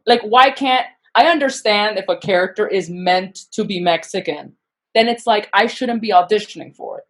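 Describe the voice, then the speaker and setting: Woman podcast guest, lively and self-deprecating, everyday pitch. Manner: animated, self-deprecating, quick asides. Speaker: podcast guest, actor and comedian. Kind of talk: casual interview chat.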